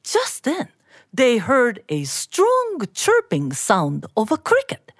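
A woman telling a folktale live, in an expressive, deliberate and dramatic manner. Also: a little high, no room sound, clean studio sound. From Japan. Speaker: storyteller. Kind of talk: live oral storytelling of a folktale.

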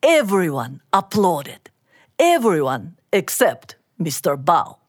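A woman storyteller with a Japanese accent tells a folktale live. Her delivery is slow and dramatic, with a lively, swooping melody, and the sound is close and dry. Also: fairly low pitch, slightly rough, dry recording. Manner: animated, measured, dramatic.

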